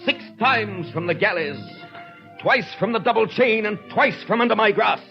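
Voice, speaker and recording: An actor playing a stern, vengeful police inspector in a grave, declamatory 1930s radio drama style. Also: male voice, sits high, moderate pace, gravelly. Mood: agitated, frustrated. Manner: grave, declamatory, clipped pronouncements. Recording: muffled 1937 radio broadcast, music behind.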